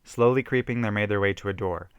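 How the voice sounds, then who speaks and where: A man's voice: fairly low pitch, quick pace, clear, resonant tone, dry recording, lo-fi sound. A man giving an emphatic, theatrical reading of a horror story, stumbling now and then. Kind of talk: dramatic reading of a self-written horror story.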